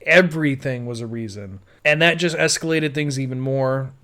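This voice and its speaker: A man's voice: mid-range voice, moderate pace, pushed and bright. A man telling his own life story in a casual, rambling, conversational audio-blog monologue, peppered with "like" and "you know". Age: adult. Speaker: podcaster.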